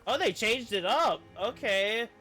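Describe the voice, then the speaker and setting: A man's voice: sits high, lively intonation, unhurried, pushed and bright, faint music behind. A man streaming a game, reading the story text aloud with casual commentary and the odd stumble over words.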